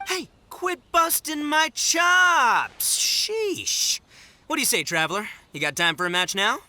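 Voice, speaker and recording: A man voicing a loud, boastful, goofy young gang boss in a video game, slangy and bombastic. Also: very high voice, sing-song, unhurried, full character voice. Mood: protesting. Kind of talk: animated video game dub acting.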